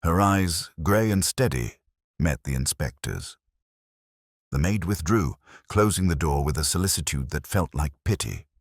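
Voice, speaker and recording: A man narrating a scripted mystery story in a measured, literary manner. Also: extremely low pitch, expressive, no room sound, studio quality. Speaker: audiobook narrator.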